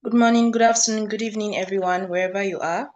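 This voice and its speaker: A woman's voice: mid-range voice, steady tone, fast, darkish tone, highs cut off. A teenage girl with a Nigerian accent, giving a short testimonial speech as a student intern.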